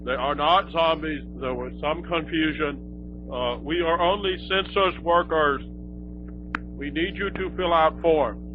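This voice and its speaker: A man's voice: a little high, unhurried, noisy background, lightly acted. A man playing a flustered emergency alert announcer, hesitant and repetitive as he awkwardly reassures in a mock broadcast. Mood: awkward, reassuring. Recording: muffled lo-fi broadcast with clear background music.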